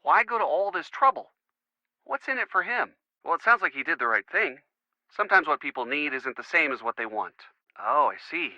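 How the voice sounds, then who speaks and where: Bright, wry young American boyfriend. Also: male voice, on the high side, animated, a little fast, no echo, dull audio. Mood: curious, wry. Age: young adult. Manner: wry, playful sarcasm. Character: easygoing, teasing young boyfriend of a sleuth. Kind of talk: naturalistic video game phone dialogue.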